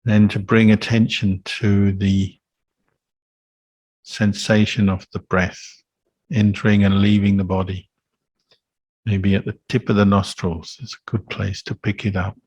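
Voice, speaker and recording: A man gives guided meditation instruction in a lowish, very slow voice. His delivery is calm and flat in melody, with gentle pauses between short phrases, as a monk teaching would speak. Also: breathy edge, dry recording.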